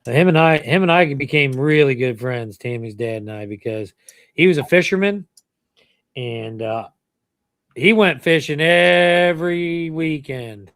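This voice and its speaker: A man's voice: on the high side, unhurried, a bit bright, smooth, dry recording. A middle-aged man hosting a casual family chat, telling rambling stories and chuckling as he goes. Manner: rambling storyteller, chuckles often. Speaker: channel host.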